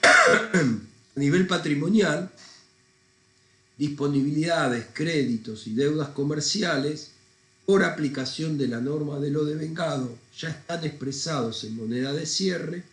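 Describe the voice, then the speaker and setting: Guy teaching, stuffed up with a cold, takes it slow, echoey room. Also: on the high side, fairly bright tone. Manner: didactic, informal, thinking aloud. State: congested with a cold, often clearing throat. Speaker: accounting lecturer. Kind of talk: recorded lesson, explaining step by step.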